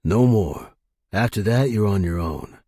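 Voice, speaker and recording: A man's voice: on the low side, very animated, unhurried, dark tone, husky, no echo, clean studio sound, voice pushed down, exaggerated voice. A man voicing a terse, grudging male supplier in a story, curt and weary. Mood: grudging, firm. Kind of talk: story character dialogue.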